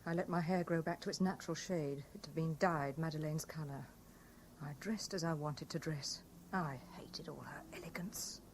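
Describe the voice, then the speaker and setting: An actress with a British accent playing a defiant, pleading young lover, confessing emotionally in a radio drama. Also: female voice, sits low, moderate pace, a little mellow. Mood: confessional. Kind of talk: BBC radio drama acting. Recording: hissy 1970s radio broadcast recording.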